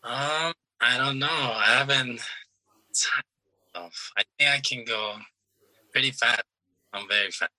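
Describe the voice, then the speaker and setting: A young man in his twenties from Iran, a soccer player answering interview questions in a relaxed, halting way with restarts. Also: medium pitch, rough recording.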